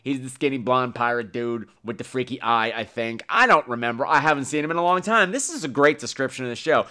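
A man's voice: mid-range voice, fairly quick, pushed and bright. A man hosting a podcast solo in casual, rambling talk, with a campy, self-mocking, bawdy manner. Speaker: podcast host.

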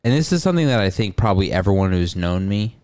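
Young guy on a podcast, rambly and self-deprecating, smooth lowish voice, dry close sound. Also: big pitch swings, quick pace, darkish tone, dry recording. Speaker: podcast co-host. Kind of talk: casual comedic chat.

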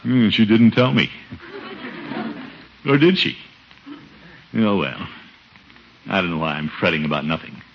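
A man's voice: low voice, very animated, a little slow, creaky, pauses often, a little hiss or hum, exaggerated voice. A man playing a fretful, pompous middle-aged uncle, with hesitant, theatrical delivery in a 1950s radio comedy. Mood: fretting. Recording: muffled vintage radio recording.

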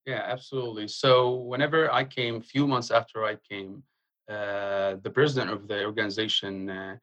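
A young man from Jordan, speaking earnestly in a casual interview and pausing often with fillers. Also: fairly low pitch, steady tone, a bit bright, poor audio. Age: young adult. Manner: earnest, halting with frequent uh fillers. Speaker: guest.

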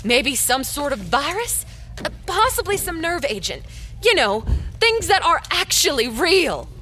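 A woman's voice: high voice, big pitch swings, unhurried, bright, forceful tone, a little hiss or hum, full character voice. A woman acting a practical, dryly sarcastic small-town library worker in an audio drama. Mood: wry, skeptical.

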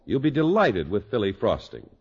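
A man announcing on 1950s radio, reading a scripted commercial with emphatic, deliberate salesman phrasing. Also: lowish voice, huge pitch swings, breathy edge. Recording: muffled old radio broadcast.

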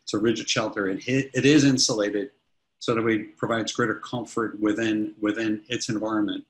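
Man in his 50s, CEO guest, a touch low-pitched, talks in loose, restarting sentences. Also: fairly even intonation, brisk. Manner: rambling, many restarts and fillers. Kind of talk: casual interview answers.

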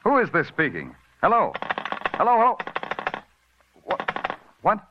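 Muffled vintage radio audio of a hard-boiled American detective. He is terse and sardonic, world-weary, and his pitch swings in a lively way as he narrates and snaps out lines in 1950s crime drama style. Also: a little slow, noisy background, exaggerated voice. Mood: tense, urgent. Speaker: lead actor and narrator.

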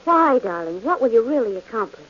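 A woman's voice: a little high, sing-song, fairly quick. An anxious devoted girlfriend. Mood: concerned. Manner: pleading, worried. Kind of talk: 1940s radio acting. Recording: dull hissy old radio broadcast.

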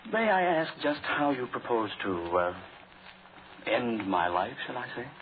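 An actor playing a calm, wryly amused, politely defiant man in a 1940s radio drama. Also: medium pitch, measured pace. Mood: wry defiance. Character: calm, wryly amused man defying his would-be killer. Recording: tinny, noisy 1940s radio recording.

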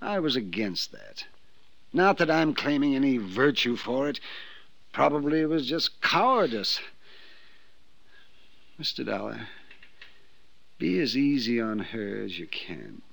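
An actor playing a perturbed, guilt-ridden old gentleman with formal, hesitant phrasing in a 1950s radio drama. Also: mid-range voice, sing-song, measured pace, creaky, long pauses, no echo, heavily acted. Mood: resigned, regretful. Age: older. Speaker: supporting actor in a radio detective serial.

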